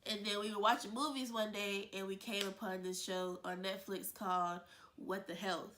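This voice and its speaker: A woman's voice: medium pitch, level tone, normal speed, fairly bright tone, a little hiss or hum. A woman with a stuffed-up, congested voice, hosting her own video channel in a casual, chatty way with lots of fillers and restarts. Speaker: vlogger, channel host. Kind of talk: casual chat to camera.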